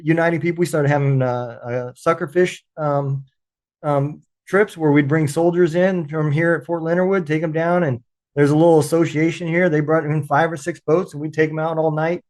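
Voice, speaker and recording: A man hosting a podcast, a veteran talking in casual interview chat, halting with frequent restarts. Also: fairly high pitch, fairly even intonation, darkish tone.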